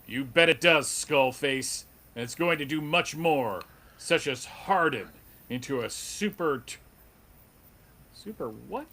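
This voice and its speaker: A man's voice: fairly high pitch, animated, slow pace, sharp tone, full character voice. A man playing an earnest, noble superhero in a melodramatic comic book table read. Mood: taunting, defiant.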